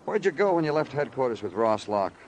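An actor playing a brooding, sardonic police detective in an old-time radio crime drama, terse in questioning and somber in narration. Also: male voice, medium pitch, big pitch swings, brisk, a little mellow, a little hiss or hum, natural voice, rough recording. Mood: sardonic, pressing. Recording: vintage radio recording with musical underscore.

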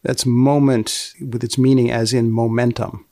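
A man hosting a podcast with scripted narration and short questions, speaking in a deadpan, deliberate, precise way. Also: medium pitch, no room sound, clean studio sound. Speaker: podcast host and magazine editor.

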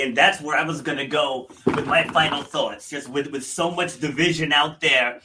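A male podcast host with a very bright, forceful voice and a slightly high pitch. He presses his points hard and repeats key phrases to drive them home. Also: rough recording. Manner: emphatic, repeats phrases for effect. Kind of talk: panel discussion wrap-up.

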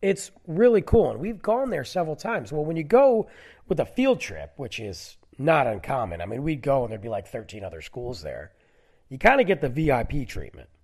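Stuffy, husky man in his forties. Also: medium pitch, sing-song, normal speed, darkish tone. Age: 40s. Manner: rambling, digressive, many fillers. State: stuffy from a cold. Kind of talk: casual solo chat.

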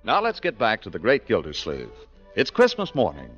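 A man's voice: on the low side, huge pitch swings, moderate pace, fairly bright tone, slightly rough. A man narrating as an announcer in scripted 1940s radio style. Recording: muffled old radio recording with music.

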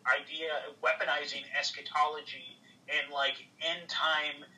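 Bright-voiced man, far from the mic. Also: on the high side, level tone, unhurried. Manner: rambling, heavy on "like" fillers, profane. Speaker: livestream guest. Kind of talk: casual livestream conversation.

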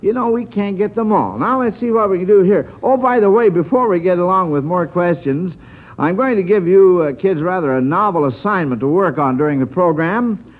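American guy hosting vintage radio quiz, high voice, folksy and jovial, muffled old broadcast sound. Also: a little fast. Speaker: radio quiz show host.

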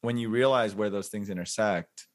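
A man in his forties talks casually as a podcast guest, his voice sitting a little low. He reflects out loud, pausing on fillers and restarting sentences as he works out what he means. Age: 40s. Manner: reflective, thinks aloud with many fillers and restarts. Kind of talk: casual interview chat.

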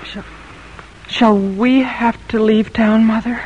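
An actress playing a dutiful, timid teenage colonial daughter in an old-time radio drama. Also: female voice, a little high, steady tone, slow, a little mellow, a little hiss or hum. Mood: anxious, deferential. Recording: dull, muffled old radio recording.

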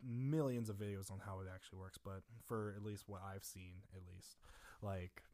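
A young man with a somewhat low voice talks in a relaxed, rambling way, circling back and restarting his thoughts. His voice sounds a little strained, as if it has been overused. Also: normal speed. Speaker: guest drummer. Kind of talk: casual chat.

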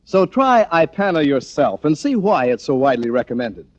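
A male announcer reads a 1940s radio commercial in a polished, direct way. His voice is slightly high and very animated. The recording is dull and dated. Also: normal speed. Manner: polished, direct address to listeners.

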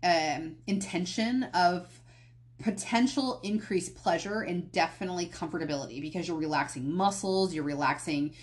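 A woman with a fairly low voice hosts a solo podcast, speaking in a candid, loose way. She rambles and goes off on small tangents. She often catches herself and restarts a phrase. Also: moderate pace. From United States. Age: adult, past college. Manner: candid, rambling, self-correcting. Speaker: podcast host. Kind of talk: casual solo podcast talk.

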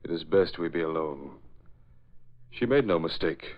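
An actor playing a cold Nazi spy chief in a 1940s radio drama, with a German accent and a clipped, formal, commanding manner. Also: male voice, rumbling low, animated, moderate pace. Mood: accusing. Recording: dull old radio recording.

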